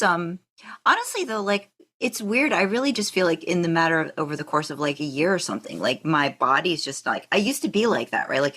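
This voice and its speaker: A woman with a distinctly low voice hosts a casual podcast conversation. Her intonation is very lively, swinging up and down as she rambles and thinks aloud. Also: breathy edge. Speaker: podcast host. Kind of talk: casual interview chat.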